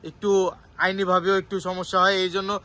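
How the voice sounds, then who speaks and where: Piercingly bright, high-voiced Bangladeshi promo host. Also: male voice, flat delivery, normal speed. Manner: eager, echoes and repeats the seller's points. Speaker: promotional co-host from the toy shop. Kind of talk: casual promotional interview.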